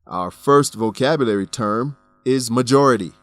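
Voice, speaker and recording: A man's voice: medium pitch, normal speed, no room sound. A man giving scripted narration as the presenter of an educational radio programme and language lesson. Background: music.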